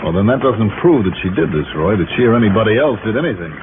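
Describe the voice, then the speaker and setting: Low-voiced, doubting radio detective. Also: male voice, big pitch swings, fast talker, noisy background. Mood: pensive doubt. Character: shrewd, doubting male insurance investigator, first-person detective narrator. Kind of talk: 1950s radio detective drama acting. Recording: muffled old radio broadcast, loud music.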